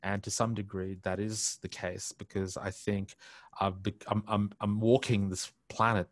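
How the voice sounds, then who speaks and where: Low-voiced Aussie man in his fifties. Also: little pitch movement, a little slow, no echo. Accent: Australian. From India. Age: 50s. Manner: reflective, frequent restarts and filler phrases. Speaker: interview guest. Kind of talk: candid personal storytelling. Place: home study on a video call. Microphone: headset.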